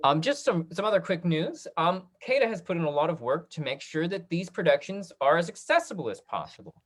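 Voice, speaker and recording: A host of a casual online talkback, speaking in a halting, conversational way like a drama teacher. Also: mid-range voice, big pitch swings.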